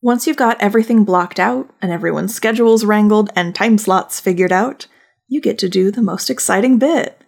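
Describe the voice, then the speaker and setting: A woman's voice: mid-range voice, moderate pace, vocal fry, a little mellow. A woman hosting a podcast with scripted but conversational narration and a playful, dry sense of humor. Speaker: podcast host.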